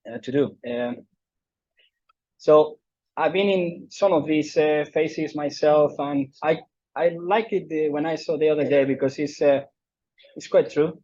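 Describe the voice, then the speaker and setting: A man's voice: a little high, mellow, no room sound, lo-fi sound. A middle-aged man from southern Spain with a Spanish accent, giving a conference talk with many fillers and restarts. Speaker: invited speaker. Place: lecture room.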